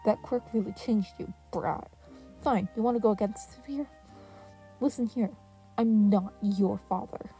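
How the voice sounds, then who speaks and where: Woman as a menacing, domineering man, mellow-toned. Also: mid-range voice, measured pace, faint music behind, lightly acted, rough recording. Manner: threatening, domineering. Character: menacing abusive man, cold villainous henchman threatening a boy.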